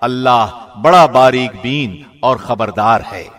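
A man giving a formal studio reading of a scripture translation, measured and solemn. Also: medium pitch. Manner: measured, solemn, even delivery. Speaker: translation reader. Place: recording studio.

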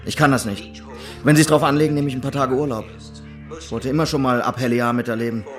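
An actor playing a driven, stubborn police detective, insistent and emphatic in a radio drama. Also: male voice, medium pitch, steady tone, fast, faint music behind, close to an everyday voice. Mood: frustrated, defiant. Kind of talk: German radio drama dialogue.

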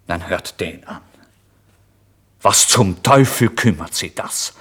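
A man playing a furious, coarse male innkeeper who curses and shouts in a dramatic audiobook reading. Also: low voice, animated, slow pace, breathy edge, exaggerated voice. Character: furious, brutish male innkeeper, cursing and bellowing.

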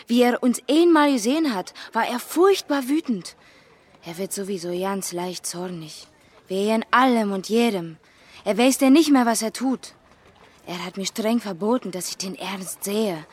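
A woman's voice: mid-range voice, breathy edge. A woman playing a shy, naive teenage butcher's daughter, hesitant and guarded, in a German radio crime drama. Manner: hesitant, guarded, simple short answers.

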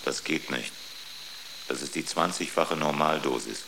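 A cautious adviser aboard a space expedition in a radio drama. The voice is extremely low and gravelly, with a measured, factual delivery over clearly noisy ambience. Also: male voice, full character voice. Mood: sober warning. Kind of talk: German radio drama acting.

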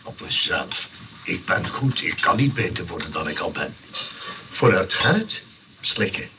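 Lively, insistent eccentric engineer, Dutch accent. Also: male voice, deep voice, measured pace. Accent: Netherlands Dutch. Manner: earnest, insistent. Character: eccentric, stubborn, self-satisfied inventor engineer. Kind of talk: comic radio play acting. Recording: distant, noisy old radio recording.

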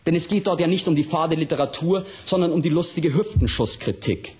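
A man as a high-pitched, ranting southern German punk author. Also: brisk, a little mellow, close to an everyday voice. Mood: provocative. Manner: vehement, colloquial, declamatory. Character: ranting, tormented, provocative male punk author reading aloud. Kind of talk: literary monologue in a radio drama.

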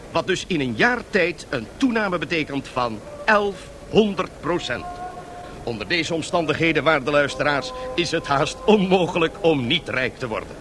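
An actor playing an excitable, boosterish 1920s American stock-exchange radio reporter in a Dutch radio drama, theatrically addressing his listeners like a salesman. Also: fairly high pitch, slight background noise. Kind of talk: 1970s Dutch radio drama, live broadcast commentary.

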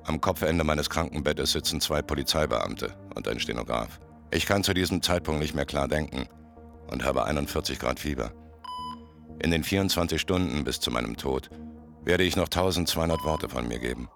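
Male doomed mob boss, radio drama: extremely low, gravelly, grave monologue over faint music. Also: moderate pace, a bit bright, exaggerated voice. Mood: grave, detached. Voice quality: very deep, gravelly. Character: dying gangster boss of thirty-three narrating his last hours.

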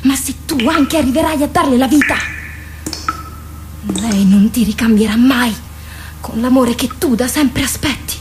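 A woman's voice: high voice, moderate pace, pauses often, lightly acted. An actress playing a protective, jealous dead woman in a radio drama, pleading and reproachful, with intense theatrical delivery. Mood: bitter, scornful. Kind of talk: Italian radio drama acting. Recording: clearly noisy radio drama recording.

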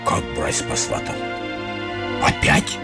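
A man's voice: slow pace, loud music behind the voice, natural voice. An actor playing an older, folksy, grumbling godfather in a period comedy radio play, full of sighs, hums and familiar asides. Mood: baffled, grumbling. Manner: folksy, keeps saying "brother", sighs and hums. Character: older godfather, folksy, grumbling, unhurried old-fashioned gentleman.

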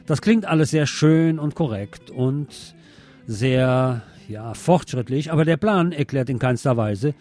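Male radio host, mid-pitched, news commentary and song announcements, wry delivery, music underneath. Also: a little slow, dark tone.

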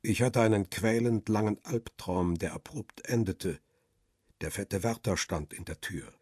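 A wary, world-weary photographer in a radio crime drama. His voice is low and heavily husky, recorded close and dry. He speaks in terse, reflective phrases as he tells his own story. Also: a little slow, darkish tone, dry recording. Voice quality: husky, rough. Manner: reflective, terse first-person narration.

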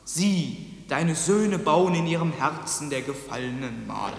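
In a long, echoing reverb, a solemn reciter of patriotic verse. His voice is fairly high and slow, exalted and declamatory, in radio drama style. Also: vocal fry, heavy background noise. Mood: solemn, fervent.

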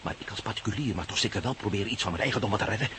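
A man's voice: lowish voice, very fast, natural voice. An actor playing a determined, watchful male landowner in a radio drama, giving terse, short observations. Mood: determined, tense. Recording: noisy radio play, outdoor ambience.

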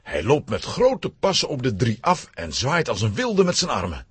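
A male narrator of a children's audio story, very husky and hugely animated in pitch. He tells the scripted tale dramatically, in a dry recording. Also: normal speed, no echo. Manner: dramatic, highly expressive.

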